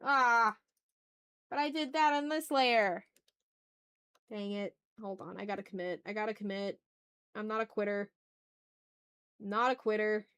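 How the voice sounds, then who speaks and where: A woman's voice: medium pitch, unhurried, long pauses, fairly bright tone, clear, resonant tone. A woman hosting a live art stream, chatting casually and talking herself through her work with repeated short phrases and mild exclamations. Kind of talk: casual livestream chat, muttering to self while drawing.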